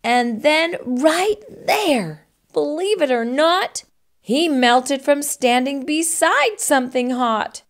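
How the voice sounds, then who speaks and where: A middle-aged woman narrates a children's storybook. Her voice is high and lively in melody, and she takes her time with each phrase as a storytime host. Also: takes it slowly. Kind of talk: expressive children's storybook read-aloud.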